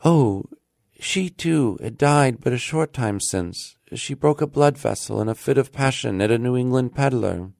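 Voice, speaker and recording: Man voicing a young village mother, pitched up. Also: a little slow, gravelly, darkish tone, dry recording, clean studio sound. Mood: matter-of-fact, sorrowful. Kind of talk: audiobook character voice.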